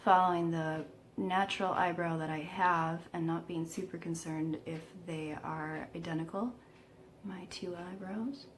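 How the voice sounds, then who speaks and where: A woman giving a casual makeup tutorial, chatty and self-deprecating, a bit croaky today, filming herself at home. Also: lowish voice, fairly even intonation, a little slow, a little hiss or hum. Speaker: beauty vlogger.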